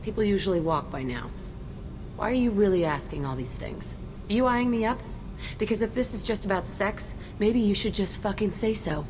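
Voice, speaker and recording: A woman's voice: mid-range voice, animated, normal speed, noisy background, lightly acted. An actress playing a tough, cynical young female ex-convict in a video game, curt, abrasive and sardonic. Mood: challenging. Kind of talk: video game character acting.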